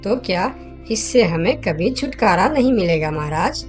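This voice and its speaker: A woman playing a clever, confident monkey who explains things with assurance in an animated fable. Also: mid-range voice, moderate pace, faint music behind, natural voice. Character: clever, confident young male monkey, helpful problem solver. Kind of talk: Hindi animated fable dialogue.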